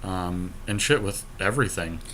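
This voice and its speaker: Guy podcast cohost talking thru card game plays, really bright crisp edge, dry teasing. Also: sits low, animated, moderate pace, a little hiss or hum. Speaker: podcast co-host. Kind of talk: casual card game play commentary.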